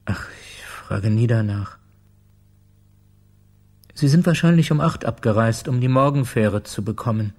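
An actor playing a reserved, resigned keeper of holiday lodgings in a German radio crime drama. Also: male voice, medium pitch, a little slow, pauses often, darkish tone.

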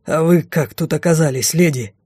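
A woman's voice: sits low, moderate pace, warm tone, slightly rough, no room sound, clean studio sound. A woman voicing a commanding, hot-tempered king who barks and growls in a fantasy audiobook. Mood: alarmed. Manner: barking, growling, authoritative.

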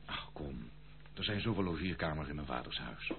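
Deep-voiced wayward gentleman in old radio drama. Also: animated, moderate pace. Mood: pleading. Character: wayward prodigal son of a castle family, charming spendthrift. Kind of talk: old Dutch radio play acting. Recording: muffled phone-like old radio recording, hum.

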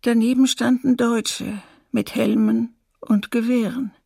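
An actress playing a female survivor who gives sober testimony in a husky, creaky voice for a documentary radio drama. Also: fairly high pitch, flat delivery, slow, mellow, no room sound, studio quality, exaggerated voice. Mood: sober, haunted.

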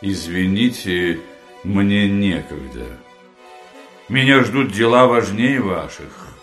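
An actor playing a curt, dismissive high-ranking dignitary in an audio play, formal and lofty in manner. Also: male voice, lively intonation, slow, fairly bright tone, faint music behind. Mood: cold, impatient.

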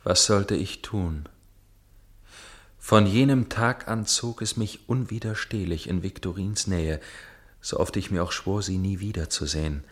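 An actor playing a tormented young gentleman in love in a German radio play, speaking earnestly and formally. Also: low-pitched, unhurried. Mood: brooding. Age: young adult. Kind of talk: German radio play acting, dialogue and narration.

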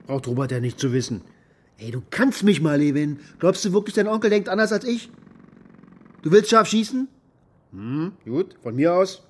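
Male, boastful aggressive uncle for radio drama: husky, coarse, contemptuous, taunting. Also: on the high side, very animated, moderate pace. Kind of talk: naturalistic radio drama monologue.

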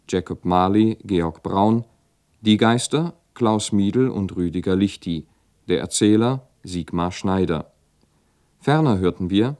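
A man's voice: fairly low pitch, a little mellow. A man working as a radio announcer, formally reading scripted credits.

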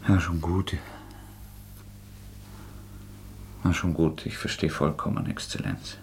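An actor playing a proud, bitter young student murderer in a radio play, with an intense, sardonic, defiant delivery. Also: male voice, low-pitched, fairly even intonation, normal speed, a little hiss or hum, close to an everyday voice. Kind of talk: German radio play acting.

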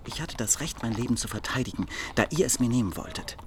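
An actor as a wily, animated captive Greek monk. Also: male voice, mid-range voice, quick pace, over a noisy crowd, lightly acted. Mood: defensive, cunning. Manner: theatrical, pompous oaths, slippery argument. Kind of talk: German radio drama acting.

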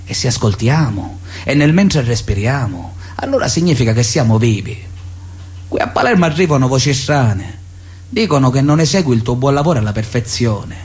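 An actor playing a pious, paternalistic Sicilian mafia elder, solemnly sermonizing with veiled menace in a radio drama letter. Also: male voice, mid-range voice, big pitch swings, a little mellow, lightly acted. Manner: solemn, sermonizing, measured insistence. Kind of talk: theatrical radio drama monologue, letter read aloud.

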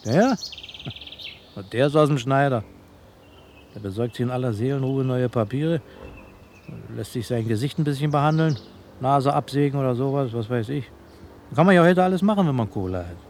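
A man's voice: mid-range voice, animated, moderate pace, pauses often, a little mellow, natural voice. A man's mid-range voice of an actor as a cynical, talkative police insider. His delivery is slangy and sardonic, in radio drama style. Birds and faint ambient noise sit behind him. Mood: flippant, cynical. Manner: colloquial, sardonic. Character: cynical, chatty insider selling police gossip.

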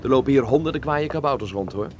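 An actor playing a fond, eager young Dutch man in radio drama dialogue, animated and bantering. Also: medium pitch, fast talker, lo-fi sound, noisy background, natural voice. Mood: amiable.

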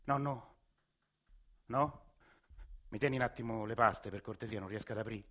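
A man's voice: lowish voice, normal speed, fairly bright tone. An actor playing a frazzled middle-aged father with a Roman accent in a radio play, sarcastic and exasperated.

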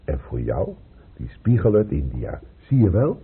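Very deep, gruff father. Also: sing-song, unhurried, heavily acted. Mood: coaxing. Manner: blunt, impatient, plain-spoken. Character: gruff, worried older father of a hospital patient. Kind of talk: radio drama acting. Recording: muffled phone-like old radio recording.

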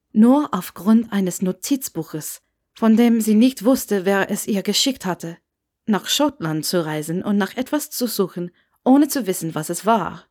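A woman narrates a piece of fiction from a script. Her voice sits at an average pitch for a woman, in the style of an audiobook. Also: a little mellow.

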